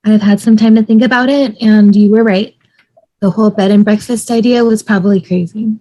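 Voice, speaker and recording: A woman's voice: mid-range voice, steady tone, a little fast, mellow, natural voice, low-quality recording. A woman playing an easygoing but bewildered young wife in a sitcom table read, natural and puzzled in manner. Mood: reassuring.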